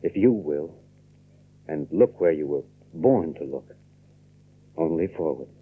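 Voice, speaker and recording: An actor playing an earnest, grave young gentleman with a tender side in a dramatic radio play. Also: rumbling low, lively intonation, takes it slowly, husky, exaggerated voice. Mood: tender, solemn. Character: earnest young gentleman revealing a long-hidden family secret, tender. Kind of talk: old radio drama acting. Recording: muffled, narrow old radio recording.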